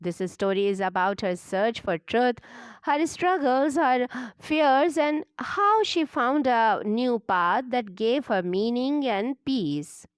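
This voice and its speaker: A woman narrating a calm, measured introduction as the channel's narrator. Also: on the high side, smooth, no room sound. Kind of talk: scripted narration.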